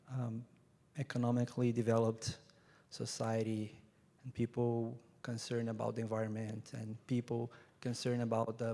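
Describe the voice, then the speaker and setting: Man from Brazil, earnest panel speaker; gravelly, even-toned, hall reverb. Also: mid-range voice. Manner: earnest, measured, lists ideas point by point. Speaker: conference witness speaker. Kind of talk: reflective closing remarks on stage. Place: large conference hall stage. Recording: hall reverb from a large room.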